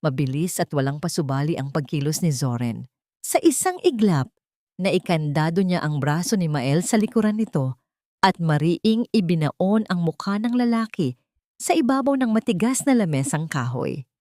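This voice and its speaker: A woman's voice: lowish voice, animated, moderate pace, warm tone, no echo, studio quality. A woman narrating a scripted audio drama in a dramatic storytelling style.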